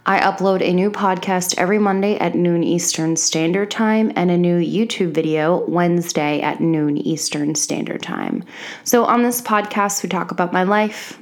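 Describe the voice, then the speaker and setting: A young woman hosting a podcast in casual chat from her home office, sounding a little sick and congested, and talking with lots of ums and likes. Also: on the low side. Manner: chatty, many fillers like um and like. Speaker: podcast host.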